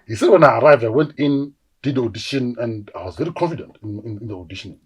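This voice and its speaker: South african guy in his 40s, husky voice, tells stories with lots of voices, chatty interview. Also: medium pitch, quick pace. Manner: animated storyteller, acts out quoted voices. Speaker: actor guest. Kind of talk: casual interview storytelling.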